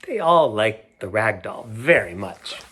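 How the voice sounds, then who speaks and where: A middle-aged man reading a picture book aloud to children, gentle and unhurried, stopping to show the pictures. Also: on the low side, big pitch swings, noisy background. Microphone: camera mic, moving toward it to show pages.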